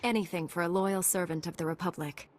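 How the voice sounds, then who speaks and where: An actress playing a confident female warrior monk in a video game, calm, assured and formal. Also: fairly low pitch, fairly quick, close to an everyday voice. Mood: calm, resolute. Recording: faint music behind the voice.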